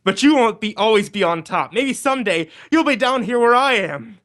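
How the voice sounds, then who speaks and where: A man's voice: sits high, lively intonation, normal speed, a bit bright. An actor playing a desperate, bitter young condemned man in an old-time radio drama, pleading and overwrought.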